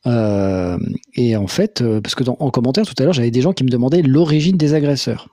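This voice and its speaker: A man hosting a call-in live stream, with a mid-pitched voice in a dry, close recording. He comments in a measured way, hesitating with frequent "euh" sounds. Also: lively intonation, warm tone, dry recording. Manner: measured, many "euh" fillers, asks short questions. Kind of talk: live call-in talk show.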